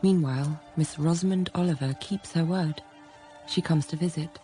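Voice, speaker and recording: Actress as self-possessed young heroine, composed literate storytelling, radio drama, music underneath. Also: female voice, low voice, moderate pace, dark tone, natural voice. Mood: reflective. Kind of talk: period radio drama narration and dialogue.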